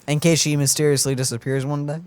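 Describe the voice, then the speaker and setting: A man's voice: a little high, fast. A man co-hosting a let's play, teasing and joking in casual banter. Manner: jokey, deadpan teasing. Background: game music.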